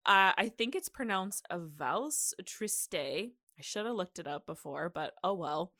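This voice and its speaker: A woman's voice: lowish voice, animated, unhurried, sharp tone, no echo, clean studio sound. A woman hosting a podcast, telling history in a casual, jokey, conversational way. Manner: jokey, giggly, informal. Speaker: podcast host.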